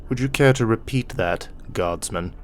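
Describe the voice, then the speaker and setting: A man's voice: fairly low pitch, normal speed, a little hiss or hum, voice pushed up, lightly acted. Man putting on a menacing, icily polite commissar. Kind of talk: storybook voice acting.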